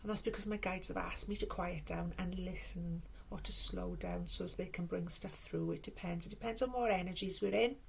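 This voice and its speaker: A woman with a Welsh accent giving a spiritual card reading, chatty and rambling with restarts, calling her listeners my loves, now and then dropping to a hushed whisper. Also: on the low side, creaky, a little hiss or hum, low-quality recording. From Wales. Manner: slow measured reading aloud from a card guidebook; drifts between quick talk and hushed whispering. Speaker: tarot card reader. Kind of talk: casual spoken card reading.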